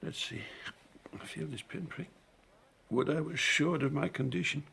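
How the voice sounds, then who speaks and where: An actor playing a frail, confused old king in his eighties in a classical audio drama, gravelly and halting. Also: a little high, sharp tone, a little hiss or hum, heavily acted. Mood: bewildered. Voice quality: gravelly, creaky. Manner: halting, bewildered.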